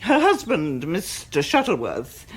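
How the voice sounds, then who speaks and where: A woman's voice: low voice, huge pitch swings, a little slow, husky, exaggerated voice. An actress playing a pompous, self-satisfied older aristocratic lady with an upper-class English accent in a radio comedy drama. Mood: haughty. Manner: pompous, stately, commanding.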